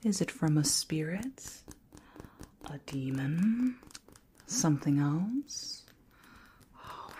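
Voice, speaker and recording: A woman playing a shy, hapless young female ghost in an ASMR roleplay, speaking softly and often whispering, with theatrically spooky teasing. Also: low voice, a little hiss or hum. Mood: playfully spooky. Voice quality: soft, breathy, often whispered.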